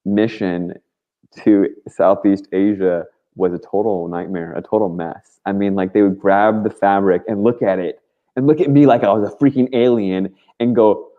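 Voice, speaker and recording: A man's voice: on the low side, fairly even intonation, normal speed, phone-quality sound. A man talking as a guest on a talk show, rambling casually and earnestly through his stories in informal, slangy language. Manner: informal and slangy, with frequent "like" and mild swearing.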